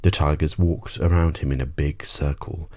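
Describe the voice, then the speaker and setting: A man narrating a bedtime story audiobook in an ASMR style, husky and gently measured. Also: rumbling low, steady tone.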